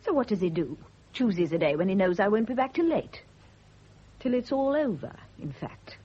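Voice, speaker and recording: An actress playing a bitter, righteous, suspicious nurse, indignant and insinuating, in a radio drama. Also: female voice, medium pitch, very animated, moderate pace, warm tone, husky. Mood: righteous accusation. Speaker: private nurse. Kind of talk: 1970s radio drama acting. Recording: dull lo-fi old radio recording.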